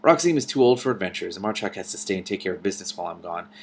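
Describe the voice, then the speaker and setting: Man reading as a brisk, stubborn young princess. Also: low voice, animated, slight background noise. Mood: matter-of-fact. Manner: brisk, matter-of-fact retorts. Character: stubborn, practical young princess who works for dragons.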